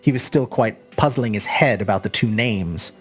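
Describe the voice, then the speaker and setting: A woman narrating a literary short story as an expressive storyteller. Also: rumbling low, normal speed, slightly rough, soft background music.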